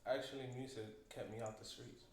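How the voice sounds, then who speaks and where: A man's voice: mid-range voice, steady tone, a little fast. Young man producer, distant roomy sound. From Dominican Republic. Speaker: music producer guest. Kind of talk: casual podcast chat. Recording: roomy, distant mic with faint noise.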